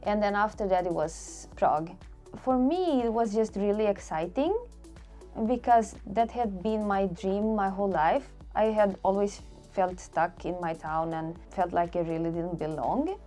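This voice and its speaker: A woman's voice: medium pitch, unhurried, dark tone, soft background music. A woman, speaking candidly in an interview. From Portugal. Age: late 20s. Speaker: interviewee, solo traveller.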